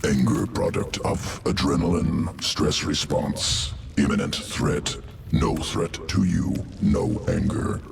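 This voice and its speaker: Gravelly, extremely deep alien geneticist. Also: male voice, takes it slowly, slight background noise, exaggerated voice. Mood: cold, dismissive. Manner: clipped telegraphic phrasing, deadpan and clinical. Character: cold, calculating alien geneticist creature serving a swarm. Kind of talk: scripted video game character dialogue. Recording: music behind the voice.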